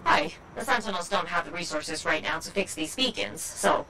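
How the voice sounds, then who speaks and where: An actress playing an earnest, socially awkward military officer in a video game, businesslike and a little stiff. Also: female voice, on the low side, expressive, normal speed, vocal fry, bright, forceful tone, a little hiss or hum, heavily acted, poor audio. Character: awkward, earnest, brisk military Sentinel woman relaying missions.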